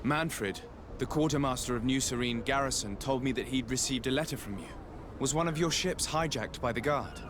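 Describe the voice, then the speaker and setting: An actor playing an earnest, courteous diplomat in a video game, speaking in a polite, formal and measured way. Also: male voice, medium pitch, background noise, lightly acted. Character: courteous, earnest male diplomat, composed investigator. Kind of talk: dramatic video game dialogue.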